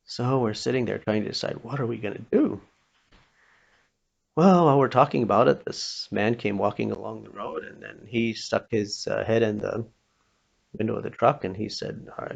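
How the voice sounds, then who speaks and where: A man telling a story informally, acting out the dialogue, with a halting, pausing delivery and a creaky voice. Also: mid-range voice. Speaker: storyteller, pastor. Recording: dull, muffled lo-fi recording.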